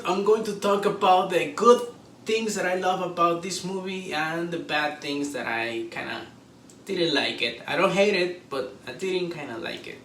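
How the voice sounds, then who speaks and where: Man, casual review host, excitable fan delivery, fairly high voice, roomy off-mic sound. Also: recorded from a distance. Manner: excitable fan talk with drawn-out exclamations. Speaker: host of a movie review show. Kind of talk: casual, unscripted movie review chat. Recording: roomy echo, off-mic, faint background noise.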